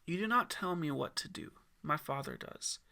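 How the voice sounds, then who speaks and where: A man voicing a calm, resolute holy man in an audiobook, speaking with firm assurance. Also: a little high, normal speed, creaky, exaggerated voice.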